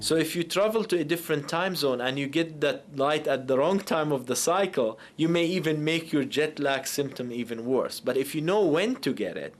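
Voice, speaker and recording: A man's voice: fairly high pitch, expressive, normal speed, hardly any pauses. A man, a circadian biologist, explaining jet lag in a documentary interview with some restarts. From Jordan.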